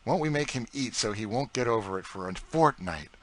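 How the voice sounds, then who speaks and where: A man's voice: mid-range voice, expressive, fairly quick, a bit bright, voice pushed up, rough recording. A man voicing a cheerful, teasing young boy who talks eagerly and playfully.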